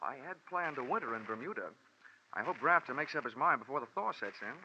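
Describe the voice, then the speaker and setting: An actor playing a wry, hard-boiled insurance investigator in a 1950s radio drama, delivering dry, sardonic wisecracks. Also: male voice, mid-range voice, expressive, quick pace, bright, forceful tone, slight background noise. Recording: muffled vintage radio broadcast recording.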